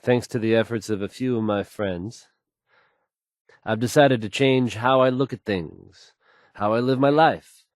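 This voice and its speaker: A man's voice: mid-range voice, no echo, clean studio sound, lightly acted. An actor playing a confident, easygoing radio newsman in a video game, suave and wry, with a polished, folksy delivery. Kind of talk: smooth scripted radio news delivery, video game voice acting.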